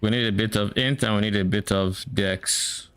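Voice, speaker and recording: A man's voice: lowish voice, steady tone, moderate pace, fairly bright tone, clean tone. A man from Nigeria with a mixed Nigerian and Canadian accent, thinking aloud in casual chat on a live game stream. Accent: mix of Nigerian and Canadian. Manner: thinking aloud, musing and self-questioning.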